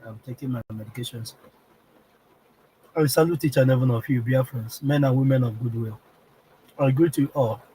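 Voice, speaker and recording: A Nigerian man with a heavy cold and a strained throat, hosting a political live-stream broadcast and clearing his throat often. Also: mid-range voice, brisk, pauses often, dark tone, slight background noise, rough recording. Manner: keeps clearing his throat, repetitive and insistent.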